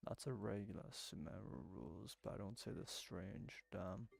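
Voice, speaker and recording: Gravelly low-voiced man muttering gameplay commentary. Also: moderate pace. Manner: muttering to himself, puzzled asides. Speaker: gaming streamer.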